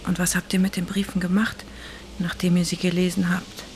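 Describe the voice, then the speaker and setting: An actress in a German radio drama playing a woman who reads aloud in an intense, hushed way. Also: fairly low pitch, flat delivery, fairly quick, a little hiss or hum, close to an everyday voice. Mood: hushed, bitter.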